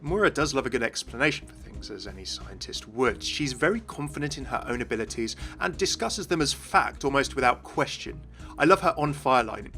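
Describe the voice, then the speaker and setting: A man's voice: medium pitch, expressive, a little fast, pushed and bright. A man hosting a gaming video, narrating in an enthusiastic explainer style. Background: music.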